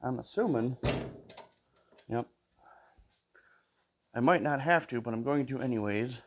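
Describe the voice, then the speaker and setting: A man's voice: medium pitch, a little slow. Man, stuffy nose, mid-range voice, casual workshop chatter, talks to himself, faint hiss behind. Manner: mutters to himself, hums between tasks. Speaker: small engine repair vlogger. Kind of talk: casual talk while working.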